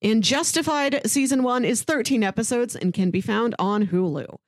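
A woman's voice: on the high side, normal speed, no echo, clean studio sound. A woman co-hosting a podcast in casual banter, chatty and wry, with a playful way of talking. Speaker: podcast co-host.

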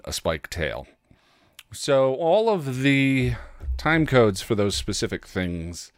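A man with a cold talking casually and haltingly, with self-deprecating asides, as a solo podcast host. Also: lowish voice, no room sound, studio quality. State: congested with a cold.

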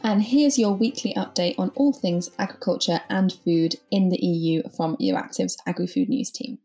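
A woman co-hosting a weekly news podcast, lightly teasing and quick to laugh. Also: on the low side, dark tone, husky, soft background music. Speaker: podcast co-host.

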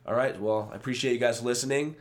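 A man's voice: mid-range voice, fairly even intonation, quick pace. A man in his late twenties co-hosting a podcast, chatting casually about sports and making jokes. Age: 20s. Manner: joking, rambling. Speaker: podcast co-host.

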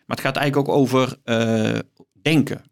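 Thoughtful man with a creaky voice. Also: mid-range voice, steady tone. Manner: thoughtful, halting, repeats words while searching for phrasing. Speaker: guest, professor. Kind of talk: conversational explanation of books.

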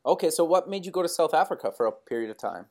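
A man's voice: on the high side, very animated, rapid-fire, a little mellow. Chatty male podcast host. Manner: casual, filler-heavy questions, laughs. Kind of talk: interview podcast.

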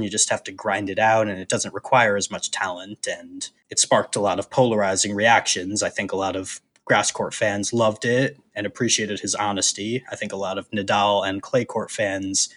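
A man with a lowish, somewhat husky voice talks sports as a podcast co-host. He gives his opinions casually, working through each point in measured phrases with hesitant pauses. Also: fairly even intonation, fairly bright tone.

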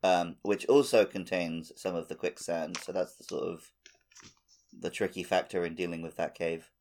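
A man's voice: deep voice, fairly even intonation, normal speed, a little mellow, a little hiss or hum. A man co-hosting a podcast, talking casually about a video game. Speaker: podcast co-host.

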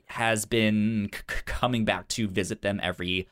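A man co-hosting a casual movie podcast, speaking in a halting way with stammered, repeated syllables. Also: on the low side, fairly even intonation, a bit bright. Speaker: podcast co-host.

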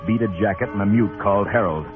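An actor playing a world-weary, sardonic U.S. marshal in a noir radio Western. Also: male voice, on the low side, fast talker, music in the background, close to an everyday voice. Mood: tense narration. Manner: terse, sardonic. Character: hard-boiled, world-weary Western United States marshal. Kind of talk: noir radio drama narration and dialogue. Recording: tinny old radio recording.

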